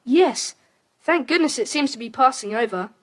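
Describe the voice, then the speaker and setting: A woman's voice: high-pitched, lively intonation, moderate pace, no room sound. A woman playing an eager, curious schoolboy adventurer in a children's audio drama. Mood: alert, adventurous. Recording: slightly noisy tape transfer.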